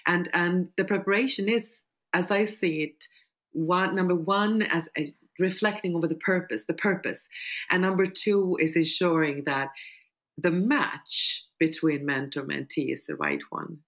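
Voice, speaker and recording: Older woman with a low-pitched voice. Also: measured pace. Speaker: panel speaker and mentor. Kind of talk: video panel discussion. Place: small furnished room indoors. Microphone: video call.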